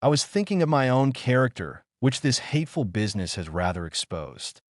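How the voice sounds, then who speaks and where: A man voicing a sick, anxious gentleman doctor in an audiobook, fervent, solemn and formal. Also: medium pitch, sing-song, a little fast, dry recording, clean studio sound, voice pushed up, natural voice. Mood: dismissive. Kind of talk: dramatic audiobook character reading.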